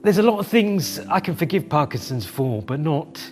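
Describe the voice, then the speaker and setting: A man's voice: on the high side, big pitch swings, moderate pace, darkish tone, soft background music. A British man co-hosting a podcast, chatting thoughtfully and conversationally, often tagging his points with "right?" or "you know". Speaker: podcast co-host. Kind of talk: casual podcast chat.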